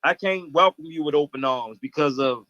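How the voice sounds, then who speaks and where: Higher-pitched American man, dull lo-fi call. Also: moderate pace, pushed and bright, no room sound. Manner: earnest, slangy. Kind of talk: casual chat, anecdotal. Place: indoor room, video call. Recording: dull lo-fi video call audio.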